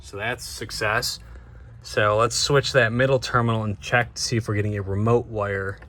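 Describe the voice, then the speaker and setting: A man's voice: lowish voice, level tone, normal speed, a bit bright. A man giving a casual, informal hands-on narration to viewers, thinking aloud as he works on a vehicle. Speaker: DIY car vlogger and host. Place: in and around a van.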